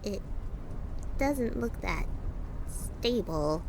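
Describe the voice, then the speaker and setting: A woman's voice: medium pitch, animated, extremely slow, vocal fry, a little mellow, a little hiss or hum, heavily acted. A woman voicing a timid, anxious, nerdy female lizard monster in an audio drama, stammering and hesitant. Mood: worried. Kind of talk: audio drama character acting.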